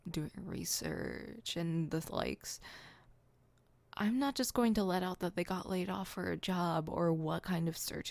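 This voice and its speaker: A woman's voice: fairly low pitch, a little mellow, no echo. A woman voicing an exasperated, proud but secretly caring supervillain in an ASMR roleplay, with an indignant, wordy, dry delivery.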